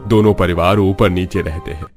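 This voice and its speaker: A man voicing a pious middle-aged father in a cartoon family story, deliberate and a little hesitant. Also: deep voice, steady tone, darkish tone, soft background music, lightly acted.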